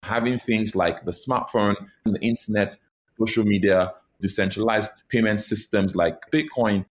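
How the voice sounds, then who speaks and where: A man's voice: lowish voice, measured pace, slight background noise. A man explaining his views thoughtfully as a guest on an interview. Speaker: podcast guest. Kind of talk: interview answers.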